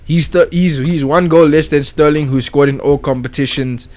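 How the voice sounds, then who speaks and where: A young man giving a casual, slangy football rant, excitable and full of swearing and repetition. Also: a little high, normal speed. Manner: slangy, excitable, swears a lot, repeats himself. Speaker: football vlogger.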